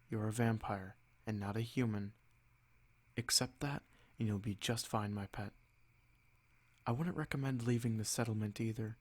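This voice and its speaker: A haughty male vampire noble, acted by a man for an ASMR roleplay. He speaks at a middling pitch in a measured, condescending way. His calm carries a soft threat, possessive and sure of his rank. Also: natural voice.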